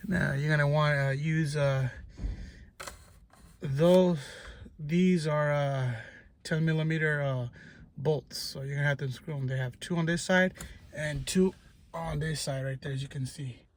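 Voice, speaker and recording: A man giving an unscripted hands-on how-to, explaining step by step in a halting, repetitive way as a tutorial presenter. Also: on the high side, steady tone. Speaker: DIY car tutorial presenter. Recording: noisy amateur recording with clicking.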